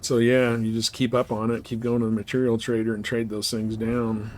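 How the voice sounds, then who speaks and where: A man talks casually through his gameplay as a live streamer, easygoing and rambling. His pitch sits in the middle of the male range, and there is faint noise and game ambience behind him. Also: flat delivery, a little fast, darkish tone. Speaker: live streamer playing a space trading game.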